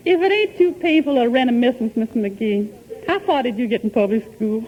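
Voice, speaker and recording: A good-humoured housemaid acted out as a woman in a 1940s radio comedy, folksy and mock-learned. The voice is very high and swooping, on a muffled old recording. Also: lively intonation, brisk, natural voice. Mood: amused.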